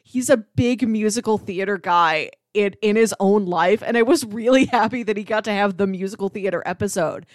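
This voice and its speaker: A woman co-hosting a podcast in casual chat, telling things in an animated way and often laughing as she talks. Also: mid-range voice, normal speed, few pauses, dry recording, clean studio sound. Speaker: podcast co-host.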